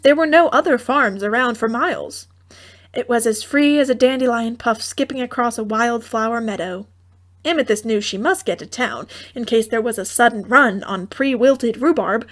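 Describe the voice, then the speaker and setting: A woman narrating a comic fairy tale audiobook in a theatrical, droll manner with wry asides. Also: fairly high pitch.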